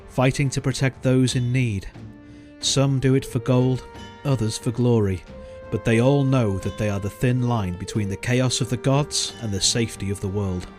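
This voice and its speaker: A man delivering dramatic scripted trailer narration in a measured, theatrical manner. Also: mid-range voice.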